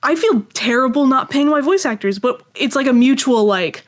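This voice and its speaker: A woman chatting casually as an interview guest, talking in a rambling, animated way. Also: sits high, normal speed. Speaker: guest, audio drama creator.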